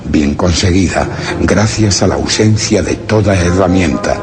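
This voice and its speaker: Very deep, solemn explorer. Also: male voice, unhurried, over music. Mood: deliberate, scheming. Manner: grave, literary, theatrical, with rhetorical questions. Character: male explorer narrating his journal among strange people, solemn, wondering. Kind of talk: Spanish radio drama suspense monologue.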